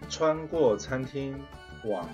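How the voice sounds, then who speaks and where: A man's voice: medium pitch, animated, slow pace. A middle-aged man, giving a voice-over narration for a travel vlog. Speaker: travel vlogger. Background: plucked guitar music.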